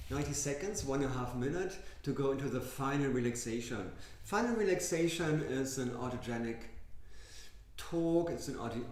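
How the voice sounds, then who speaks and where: A man leading a yoga class, speaking softly and slowly with gentle instructions. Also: mid-range voice. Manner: soft, slow, gently instructive. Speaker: yoga teacher. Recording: very echoey room.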